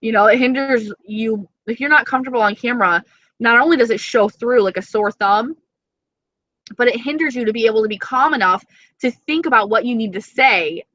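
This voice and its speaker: A woman's voice: fairly high pitch, fairly quick, a bit bright, no room sound, highs cut off. A young woman with an East Coast accent chatting casually on a live stream, reading viewer comments and swearing freely. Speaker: beauty vlogger.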